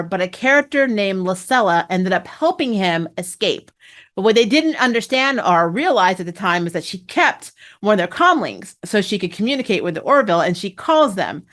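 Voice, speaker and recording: Female fan-review host, medium pitch. Casual, slangy, opinionated recap style, openly gushing and chatty. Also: fairly bright tone.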